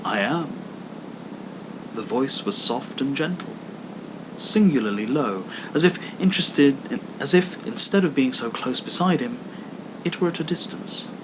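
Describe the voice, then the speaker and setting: A man's voice: mid-range voice, lively intonation, moderate pace. Man reading a storybook, noisy recording. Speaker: audiobook reader. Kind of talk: scripted storybook narration.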